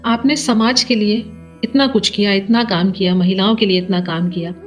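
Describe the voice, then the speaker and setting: A woman hosting an interview podcast, summing up deliberately before putting her questions, on a slightly dull recording. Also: mid-range voice, steady tone, soft background music. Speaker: podcast host.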